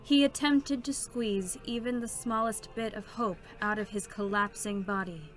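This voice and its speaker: A woman giving scripted dramatic narration as a solemn storyteller, with a measured, grave delivery. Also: medium pitch, lightly acted. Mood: somber. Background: clear background music.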